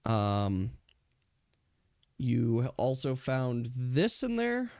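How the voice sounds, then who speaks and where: Slow, animated man running a tabletop game. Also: mid-range voice, clean tone, no echo, clean studio sound. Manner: halting, thinking aloud. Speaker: game master. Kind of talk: casual improvised tabletop game narration.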